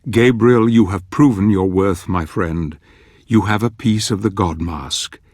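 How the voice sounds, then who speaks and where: An actor playing a grave older knight who narrates a dark fantasy game in a solemn, measured, theatrical manner. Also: male voice, lowish voice, no room sound, clean studio sound. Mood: grave, portentous. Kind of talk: dramatic scripted fantasy narration.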